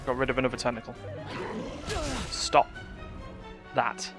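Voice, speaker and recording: A man's voice: mid-range voice, monotone, unhurried. A man giving live gameplay commentary, talking to himself and reacting as he plays. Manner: muttering to himself and reacting to play. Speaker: game streamer. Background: game music.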